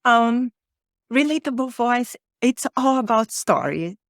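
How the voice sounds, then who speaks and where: Slow-speaking Brazilian woman, dry sound. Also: a little high, animated, dry recording. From Rio de Janeiro, Brazil. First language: Portuguese. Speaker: podcast guest, author and podcaster. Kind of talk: conversational interview.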